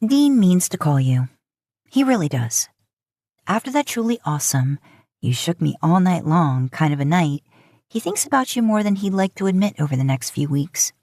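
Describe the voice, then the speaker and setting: A woman's voice: on the low side, big pitch swings, moderate pace, a little mellow, dry recording. A woman narrating scripted romantic fiction as an audiobook-style storyteller, recorded close to the mic.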